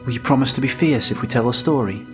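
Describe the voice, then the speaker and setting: A man's voice: medium pitch, quick pace, faint music behind, lightly acted. A man acting in an audio drama as a protective father, coaxing his young son in an informal way. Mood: playful, encouraging.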